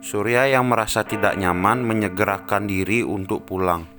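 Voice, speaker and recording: Low-pitched male storyteller over music. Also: fairly even intonation, moderate pace. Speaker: narrator. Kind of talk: scripted story narration. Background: music behind the voice.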